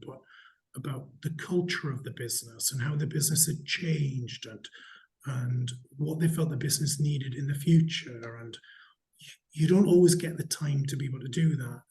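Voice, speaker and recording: A middle-aged man from Newcastle with a slight Geordie accent, answering interview questions in a measured, candid way with frequent restarts. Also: mid-range voice, darkish tone. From Newcastle, England. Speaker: hotel company chief executive, interviewee.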